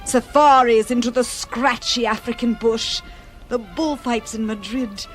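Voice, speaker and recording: An actress playing an older, wistful aristocratic widow in a radio drama, telling her story in a slow, dramatic way. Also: on the high side, soft background music, natural voice. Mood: wistful, romantic.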